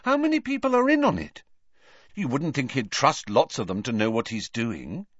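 A man's voice: mid-range voice, big pitch swings, normal speed, dry recording, pitched above the performer's own voice. Guy doing a sceptical clever teen witch girl, precise n bossy, lilting storybook voice, dull sound. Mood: puzzled. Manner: precise, questioning, admonishing.

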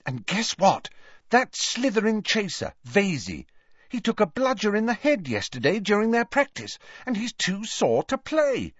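Storybook role: cheerful, blunt teen girl performed by a man, raised pitch, brisk manner, slightly dull recording. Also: wildly swooping pitch, fairly bright tone, no room sound, exaggerated voice. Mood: bright, gossipy. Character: lively teenage schoolgirl, bright, sporty and blunt.

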